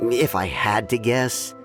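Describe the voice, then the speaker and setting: A man playing a measured, reserved townsman in an anime video game, thoughtful and a little hesitant in his conversational delivery. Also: mid-range voice.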